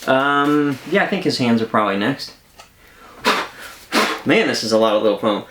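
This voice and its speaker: A man's voice: medium pitch, expressive, normal speed. Man chatting casually in an echoey room. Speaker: host of a collectibles unboxing channel. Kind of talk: casual unboxing chat. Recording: echoey room, some background noise.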